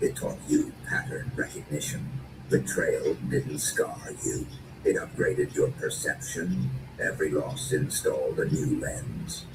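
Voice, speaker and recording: A man performing dark, dramatic spoken-word narration in short, deliberate and menacing phrases, as a narrator. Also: a bass voice, roomy sound. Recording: distant, noisy lo-fi recording.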